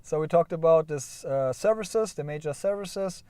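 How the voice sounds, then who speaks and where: A man's voice: a little high, moderate pace, warm tone, clean tone, no room sound. A man with a German accent hosting a casual livestream, rambling and correcting himself as he chats with viewers. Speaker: livestream host.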